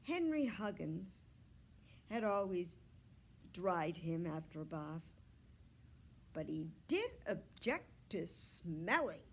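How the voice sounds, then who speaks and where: A woman reading a children's storybook aloud like a librarian, with measured, expressive phrasing that sometimes drops to a hush. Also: on the low side. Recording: clear background noise.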